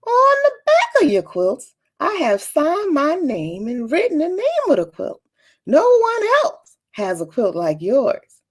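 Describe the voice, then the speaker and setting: A woman voicing a kind, proud old grandmother from a storybook, with a gentle, affectionate manner. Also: high voice, huge pitch swings, a little slow, voice pushed up, exaggerated voice. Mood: tender.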